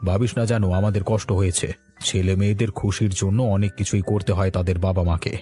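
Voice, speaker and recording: A man voicing a sorrowful, forgiving older father-in-law in a cartoon, measured and resigned. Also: low voice, flat delivery, warm tone, a little hiss or hum, close to an everyday voice. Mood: resigned, caring. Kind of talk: Bengali cartoon voice acting.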